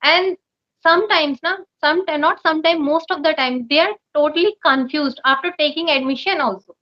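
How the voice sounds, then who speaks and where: Teacher lady, high voice, earnest advice, kinda dull muffled audio. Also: a little fast. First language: not English. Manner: earnest, advice-giving, laughs often. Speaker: online English teacher. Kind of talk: conversation practice chat.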